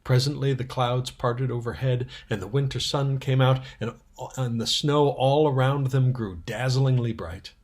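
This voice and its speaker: A man reading a children's story aloud as narrator, with a slightly husky voice. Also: medium pitch, fairly even intonation, unhurried, few pauses, darkish tone.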